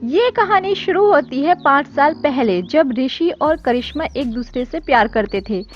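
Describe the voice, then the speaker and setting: A woman's voice: sits high, animated, measured pace. A woman reading a scripted story as narrator. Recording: dull lo-fi audio with music. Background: background music.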